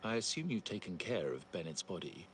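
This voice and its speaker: A man's voice: deep voice, sing-song, a little fast, background noise. A man playing a cold, ambitious schemer in a crime family, speaking with controlled, calculating menace in a video game. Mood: cold, menacing. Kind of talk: dramatic video game dialogue.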